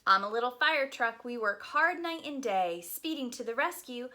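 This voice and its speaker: Woman playing eager little fire truck, children's storybook, bright high voice, emphatic sing-song rhyming, proud. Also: animated, moderate pace. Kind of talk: children's picture-book reading.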